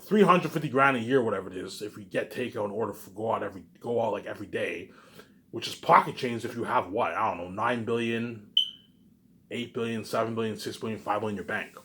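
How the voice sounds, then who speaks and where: Man rambling casually in repetitive loops. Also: mid-range voice. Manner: repetitive, circling back over the same phrases.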